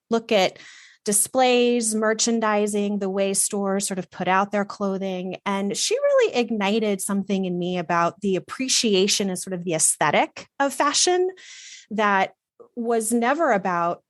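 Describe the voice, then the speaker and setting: A woman's voice: mid-range voice, animated, unhurried, no echo. A middle-aged woman from Texas, a guest telling personal stories in an earnest, reflective, casual way. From Texas, USA. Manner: reflective and earnest, with some fillers. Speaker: guest, wardrobe consultant. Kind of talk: casual conversational storytelling.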